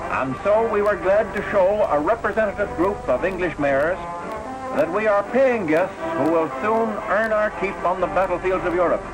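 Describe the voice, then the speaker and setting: A man's voice: high voice, low-quality recording. A man reading scripted 1940s newsreel narration in a formal, patriotic announcer manner, on an old film soundtrack. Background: loud newsreel music.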